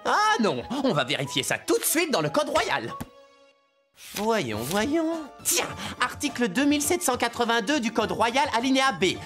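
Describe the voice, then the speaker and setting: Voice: mid-range voice, very animated, moderate pace, music in the background, close to an everyday voice. An actor playing a cowardly, easily humiliated king in a French children's cartoon, panicky and huffy. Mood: huffy, indignant.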